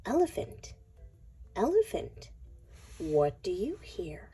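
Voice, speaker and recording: Very slow, soft dark-voiced woman reading aloud. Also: mid-range voice, wildly swooping pitch, slightly rough, faint music behind. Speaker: children's book reader. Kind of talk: expressive picture-book read-aloud for young children.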